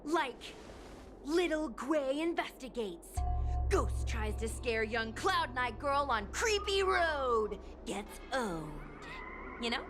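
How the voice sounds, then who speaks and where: A woman voicing an upbeat, excitable young woman who streams online, chatty and eager in a game cutscene. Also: high voice, takes it slowly. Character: bubbly young woman street performer turned online streamer. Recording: game cutscene with music behind.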